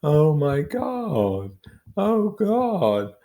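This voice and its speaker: A man voicing a grief-stricken husband in a storybook reading, moaning in horror with drawn-out wails. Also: a little high, huge pitch swings, warm tone, pitched above the performer's own voice, full character voice. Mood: anguished.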